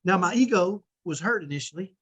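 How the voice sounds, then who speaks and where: A middle-aged man with a strong, powerful voice, coaching parents in an energetic, emphatic way and often checking in with "right?". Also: high-pitched, fairly quick, slight background noise. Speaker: host.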